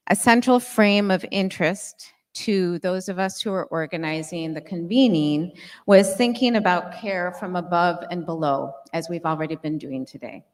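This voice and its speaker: A woman moderating a panel, giving a prepared academic introduction in a deliberate, phrase-by-phrase way on an auditorium stage with a handheld mic. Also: lowish voice. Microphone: handheld microphone. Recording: reverberant hall sound.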